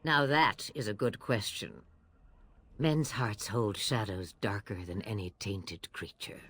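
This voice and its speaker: A woman's voice: a bass voice, slow pace, a bit bright, slightly rough, exaggerated voice. An actress playing a cryptic, commanding old witch of the wilds in a video game, sardonic and archaic in her phrasing. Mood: dark, knowing. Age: older.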